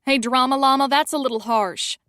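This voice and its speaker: A woman's voice: high-pitched, fairly even intonation, fairly quick, pushed and bright, no room sound, clean studio sound. A woman voicing a blunt, teasing young woman friend.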